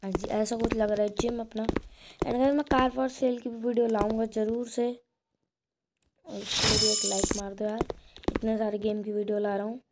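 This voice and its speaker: A woman's voice: on the high side, flat delivery, normal speed. A woman giving casual, chatty gameplay commentary, addressing viewers informally like friends. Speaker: gaming video creator. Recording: very noisy phone recording with clicks.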